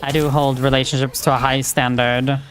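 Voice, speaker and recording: A woman's voice: rumbling low, level tone, normal speed. A woman streamer chatting casually and frankly while she plays a game, with lots of filler words. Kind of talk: casual chat while gaming.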